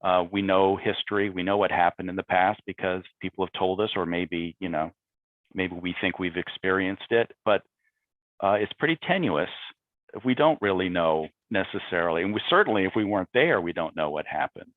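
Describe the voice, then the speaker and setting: A man speaking as a playwright in a talkback, reflecting on his process in halting, thoughtful phrases. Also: low voice, no room sound. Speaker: playwright on a panel. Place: remote video call. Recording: phone-like narrow-band video call audio.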